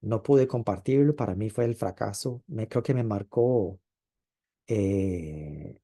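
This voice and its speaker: Male preacher, warm dark tone, reflective delivery with pauses and drawn-out emphasis. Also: on the low side. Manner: thoughtful, with frequent hesitations and drawn-out stresses. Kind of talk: sermon.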